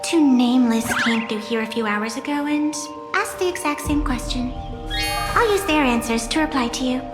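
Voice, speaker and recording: A woman's voice: a little high, lightly acted. A woman playing a poised, playful and mysterious young female guide in a video game, speaking formally with teasing, faintly haughty theatricality. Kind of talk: scripted video game character acting. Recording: echoey, long reverb, music behind.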